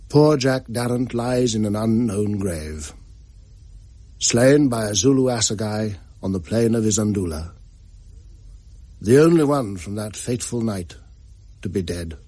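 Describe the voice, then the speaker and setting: An actor playing a concerned, sensible gentleman in a period drama, with measured, formal, old-fashioned phrasing. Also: on the low side, lively intonation, dry recording. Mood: mournful. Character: concerned, sensible gentleman friend who narrates mournfully. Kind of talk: period radio drama, literary narration.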